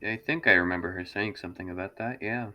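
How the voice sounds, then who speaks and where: A man's voice: deep voice, fast talker, bright, forceful tone, soft background music. A man chatting casually and describing his character as a tabletop roleplay player. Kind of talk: casual in-game chat.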